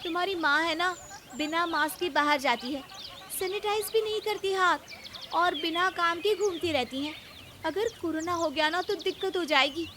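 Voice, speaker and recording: A woman plays a cheeky young daughter-in-law from a children's cartoon, in a very high voice. She nags and pleads insistently and is quick to retort. Birds and clear background noise sit behind her. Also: bright, forceful tone. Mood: complaining. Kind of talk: acted children's cartoon story.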